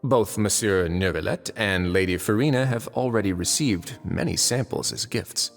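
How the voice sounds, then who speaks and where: Suave, menacing low-voiced fortress lord. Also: male voice, vocal fry. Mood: mock disappointment. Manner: measured, courteous, sardonic, menacing. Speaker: voice actor in a dubbed video game. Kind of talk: theatrical game cutscene dialogue. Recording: long reverb under game music.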